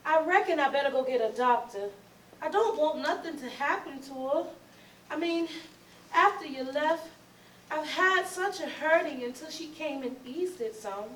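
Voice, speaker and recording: Stage drama: stubborn, suspicious mother in Southern dialect played by a woman, very high voice, noisy and echoey. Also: expressive, normal speed, a bit bright, distant mic. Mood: wistful, coaxing. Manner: folksy, colloquial, wavering between coaxing and fury. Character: Emma, stubborn, suspicious mother who stalls and rages. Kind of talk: staged readers theatre, Southern period dialect.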